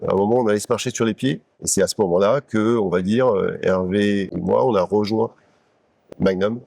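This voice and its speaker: A man in his sixties from Paris, a company executive telling his career story in an interview, measured and pausing with many fillers. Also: on the low side, vocal fry, mellow, no echo. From France (Paris). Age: 60s. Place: company showroom.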